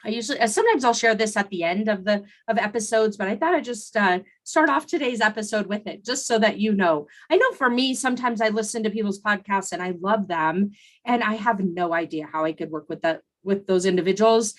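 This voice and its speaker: A woman's voice: medium pitch, lively intonation, brisk. Conversational woman podcast host, coaching. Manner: conversational, with fillers and restarts. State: recovering from laryngitis. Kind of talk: solo podcast monologue.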